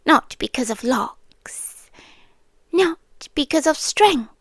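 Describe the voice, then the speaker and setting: A British woman playing a possessive, adoring vampire girlfriend in an ASMR roleplay, speaking softly and breathily and often slipping into a whisper. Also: high-pitched, lively intonation, takes it slowly, no room sound, studio quality, full character voice. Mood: obsessive, adoring. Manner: soft, breathy, often dropping into whispers, coaxing. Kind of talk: ASMR roleplay, slow intimate delivery with whispering.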